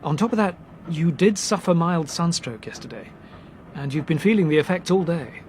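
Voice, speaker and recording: Male actor, young English man, lightly high pitch, deliberate reasoning, articulate, radio drama, slight train rumble. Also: expressive, a little mellow, close to an everyday voice. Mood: methodical, sceptical. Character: brisk, reasoning young Englishman helping a distressed young woman. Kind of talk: British radio drama acting. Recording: noisy train background ambience.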